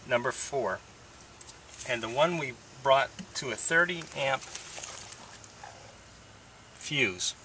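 Middle-aged male DIY instructor, plain step-by-step delivery, bright tone, recorded on a slightly distant camera mic. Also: medium pitch, a little hiss or hum. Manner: plain step-by-step explaining, relaxed. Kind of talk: hands-on how-to demonstration. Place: outdoors by a house, then indoor workshop. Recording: slightly distant camera audio with faint ambience.

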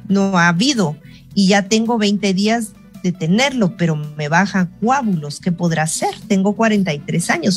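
A woman in her thirties with a Guatemalan Spanish accent, hosting a call-in show and reading listener messages in a clear, measured way. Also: medium pitch. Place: home room on a video call. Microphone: earphone mic on a video call.

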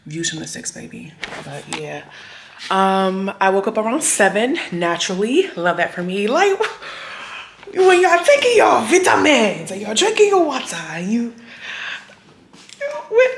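A woman in her thirties chatting casually to viewers in a solo travel vlog, animated and slangy, with loud bursts of laughter, filmed in a hotel room. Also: high voice, reverberant, slight background noise. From Haitian heritage. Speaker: travel vlogger. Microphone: camera on tripod, some handheld selfie shots.

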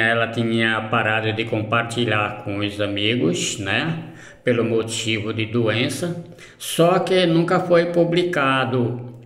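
Grieving man, slow halting host, roomy echo on a phone recording. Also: medium pitch. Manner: halting, somber, with fillers. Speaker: channel host. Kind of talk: grieving personal announcement.

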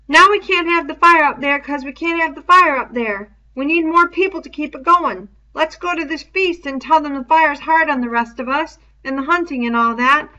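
Woman doing a high, plaintive schoolboy. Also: moderate pace, few pauses, pushed and bright. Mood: eager, complaining. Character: wavering schoolboy eager to join the fun. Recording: dull, muffled lo-fi home recording.

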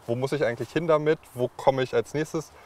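A man's voice: medium pitch, steady tone, a little fast, a little mellow. Easygoing man in his thirties. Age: 30s. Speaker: farmer's daughter's boyfriend helping out. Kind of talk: documentary interview and banter. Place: outdoors on a farm. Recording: voice with music underneath.

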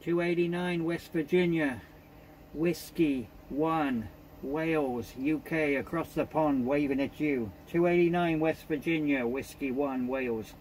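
A British man with a fairly high voice makes CB radio calls. He repeats callsigns and phrases as he works his contacts. Some radio noise runs through the recording. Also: unhurried. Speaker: CB radio operator.

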